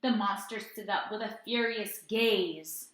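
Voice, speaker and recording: A woman teacher reading a story aloud and chatting to young children in an expressive way. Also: medium pitch, unhurried. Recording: roomy indoor echo.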